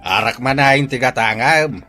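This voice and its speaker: A man's voice: mid-range voice, moderate pace, pushed and bright, faint music behind, natural voice. An actor with an Ilocano accent playing a frank, boastful, jokey everyday man in a comedy radio drama. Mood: blunt.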